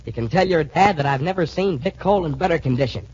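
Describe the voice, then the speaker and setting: A husky, fairly high-voiced young cadet sidekick in a 1940s radio adventure. He is loyal, folksy and wisecracking, with big swoops of pitch, on a dull vintage recording. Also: animated, fast talker, a little mellow. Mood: upbeat.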